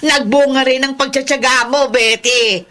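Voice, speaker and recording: An actress playing a nosy, excitable food-selling neighbour, exclamatory and gossipy, in a radio drama. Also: female voice, high-pitched, normal speed. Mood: curious, delighted. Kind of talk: Filipino radio drama acting.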